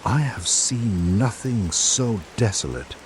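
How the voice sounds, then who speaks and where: A man hosting and narrating in a scripted old-time radio drama style, with dramatic, measured delivery. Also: on the low side, animated, slight background noise, poor audio.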